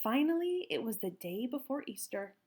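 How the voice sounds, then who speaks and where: A woman narrates a children's storybook in a high voice that swings widely in pitch. She leans into key words with an expressive, emphatic storyteller's delivery. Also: moderate pace. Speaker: storybook reader. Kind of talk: animated children's read-aloud narration.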